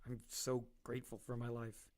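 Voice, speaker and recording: An awkward, chatty young male doctor in a low register. He rambles, jokes at his own expense and fills silences. A faint hiss runs under the audio drama recording. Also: completely flat delivery, normal speed, a little mellow. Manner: rambling, self-deprecating and chatty.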